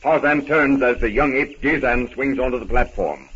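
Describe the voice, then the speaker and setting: Male narrator for vintage jungle serial: forceful, declamatory, florid delivery on scratchy narrow-band radio. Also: medium pitch, normal speed. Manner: dramatic, declamatory storytelling. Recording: scratchy, band-limited 1930s radio recording.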